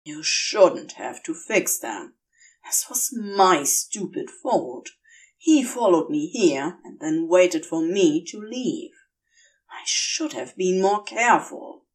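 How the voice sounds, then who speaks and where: A woman voicing a worried, protective male demon in an audiobook, terse, guarded and quick to blame himself. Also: lowish voice, very animated, far from the mic, pitched below the performer's own voice, heavily acted. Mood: self-blaming. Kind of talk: acted audiobook dialogue.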